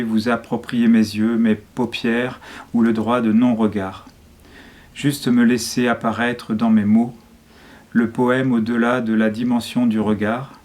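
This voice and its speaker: A man reading a poem in a measured, solemn way, phrase by short phrase. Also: mid-range voice, darkish tone. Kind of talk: poetry reading.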